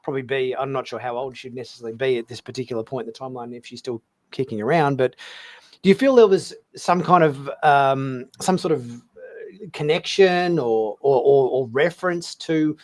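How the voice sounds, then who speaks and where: A man's voice: mid-range voice, normal speed. A man hosting a casual discussion, feeling his way through roundabout questions in a hesitant manner. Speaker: talk show host.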